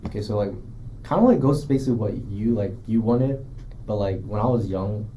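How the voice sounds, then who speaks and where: A man's voice: fairly low pitch, animated, fairly quick, mellow, a little hiss or hum, poor audio. A young man chatting casually as a podcast guest, rambling and packing his talk with filler words. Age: young adult. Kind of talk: casual chat.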